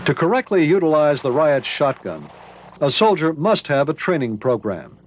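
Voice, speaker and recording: A man with a slightly high voice narrates an instructional training film from a script. The 1990s soundtrack carries a light layer of noise behind him. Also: very animated, moderate pace.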